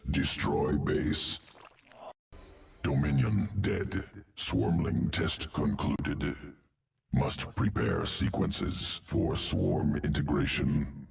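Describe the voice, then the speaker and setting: A man's voice: extremely low pitch, vocal fry, distant mic, slight background noise, exaggerated voice. A man playing a cold, clinical alien insectoid geneticist in a video game, speaking in a guttural growl and clipped, telegraphic phrases. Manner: clipped telegraphic speech without articles, deliberate. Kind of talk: video game character acting.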